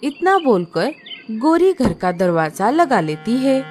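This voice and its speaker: A woman narrating a children's cartoon story in an expressive storytelling style. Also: fairly high pitch, takes it slowly, a little hiss or hum.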